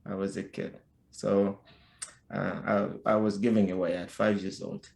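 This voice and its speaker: A middle-aged Haitian-accented man speaks slowly and haltingly, often restarting his sentences. His voice sits fairly low. The sound carries a noticeable room echo. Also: flat delivery, vocal fry, a little mellow. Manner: slow, halting, many restarts and fillers. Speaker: podcast guest. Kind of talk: personal life story in conversation.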